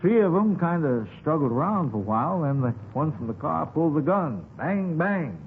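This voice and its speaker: A man's voice: fairly high pitch, sing-song, normal speed, narrow, tinny audio. A man playing a folksy, talkative eyewitness in a 1950s radio drama, rambling along in colloquial phrases. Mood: excited. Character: folksy, talkative male eyewitness to a street shooting. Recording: scratchy, thin 1950s radio recording.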